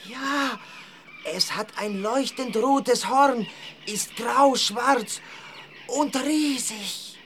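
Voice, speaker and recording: High, earnest village child. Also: female voice, drawn-out words, fairly bright tone, slight background noise. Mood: earnest, secretive. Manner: childlike, earnest, simple phrasing. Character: African village child, timid but observant friend. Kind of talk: children's audio drama acting.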